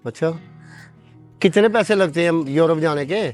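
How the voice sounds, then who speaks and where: A man's voice: a little high, moderate pace, a little mellow, faint music behind, lightly acted. A man playing a poor, trusting old village father, pleading and affectionate in a dramatised moral story. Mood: hopeful, eager. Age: older. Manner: pleading, repeatedly addresses listener affectionately.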